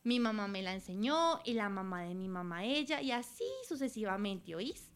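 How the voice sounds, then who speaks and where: A woman's voice: medium pitch, lively intonation, normal speed, smooth, close to an everyday voice. A woman voicing a chatty dessert seller from Cauca with a put-on Cauca Colombian accent. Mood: cheerful. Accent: Cauca, Colombia (imitated).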